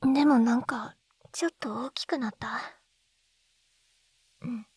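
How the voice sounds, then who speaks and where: A high, girlish voice acted by a woman plays a lovestruck schoolgirl from another world. She is shy and tender, halting and breathy, often slipping toward a whisper. It is an intimate ASMR roleplay, recorded dry and very close on a binaural microphone. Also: darkish tone, no echo. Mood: soft, wistful. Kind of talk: Japanese ASMR roleplay, intimate situation voice acting. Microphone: close binaural dummy-head microphone.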